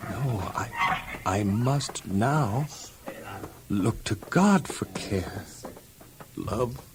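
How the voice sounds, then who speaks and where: A man playing an earnest, devout young slave lad with halting, emotional delivery in an audio Bible drama. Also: mid-range voice, heavy background noise. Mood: distraught, resolving.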